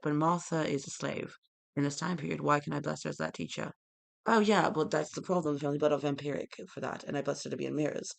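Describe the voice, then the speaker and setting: Young man, rapid-fire rambling vlog, gravelly fry, sudden loud bursts. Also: a little high. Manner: disjointed, with sudden loud outbursts. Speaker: vlogger. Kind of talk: rambling monologue to camera.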